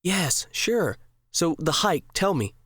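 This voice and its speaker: A man's voice: a little high, very animated, takes it slowly, a bit bright, breathy edge, no room sound, studio quality, full character voice. A man playing a persistent, needling brother in an audiobook drama, casual and coaxing in manner. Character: Jags, needling, persistent brother who pries for confidences.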